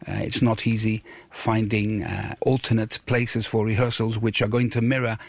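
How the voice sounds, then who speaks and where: Halting man in dry studio. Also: lowish voice, little pitch movement, dry recording. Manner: halting, hesitant, repeats words. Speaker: radio guest, show producer. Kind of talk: explanatory radio interview. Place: radio studio.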